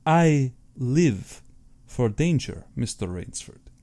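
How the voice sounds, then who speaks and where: A man's voice: medium pitch, very animated, warm tone. A man voicing a suave, courtly aristocratic Russian general, an affable but sinister hunter, in an audiobook. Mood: proud, menacing. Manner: courtly, measured, self-satisfied.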